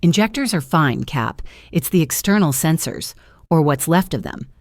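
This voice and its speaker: A woman voicing a tough, wry female ship mechanic in an audio drama, explaining things briskly. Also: low-pitched, animated, no room sound, studio quality.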